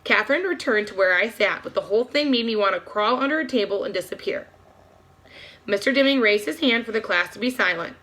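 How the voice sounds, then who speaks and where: A woman reading a children's novel aloud as an expressive storybook narrator. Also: mid-range voice, a little fast.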